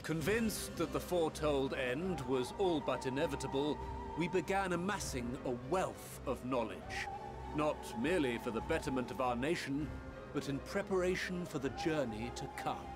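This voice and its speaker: Video game statesman, male actor: grave authority, measured formal oratory, higher-than-average male pitch, music underneath. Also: expressive, close to an everyday voice. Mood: resolute. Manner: solemn, declamatory, measured.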